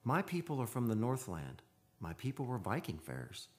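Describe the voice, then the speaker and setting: An actor playing an earnest, haunted man of seafaring stock in an old-time radio drama, speaking in a solemn, measured, poetic way. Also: medium pitch, huge pitch swings, darkish tone. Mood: awed, halting. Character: earnest, haunted seafarers' descendant telling his eerie tale. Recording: live radio studio with music behind.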